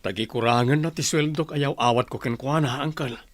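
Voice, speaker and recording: A man's voice: medium pitch, a little fast, dry recording, close to an everyday voice. A man acting a betrayed husband and loving father in a radio drama, earnest and emotional. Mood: resigned.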